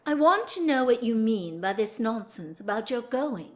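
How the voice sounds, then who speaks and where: Woman acting a high-voiced, genteel aristocratic lady. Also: big pitch swings, normal speed. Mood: flustered, firm. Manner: genteel, formal, gently reproving. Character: proper, hesitant aristocratic lady, a married hostess. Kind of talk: stage-style audio drama acting.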